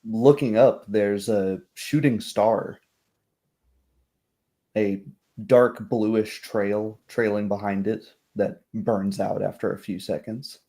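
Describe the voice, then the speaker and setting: A man's voice: lowish voice, fairly even intonation, takes it slowly, dark tone. A man working as game master, describing scenes in improvised tabletop roleplay narration.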